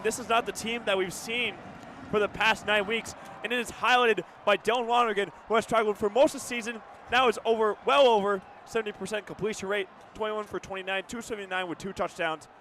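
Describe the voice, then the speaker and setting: A man provides color commentary on a live radio football game. His high-pitched voice is conversational and analytical. There is faint outdoor stadium noise in the background. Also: steady tone, a little fast, sharp tone.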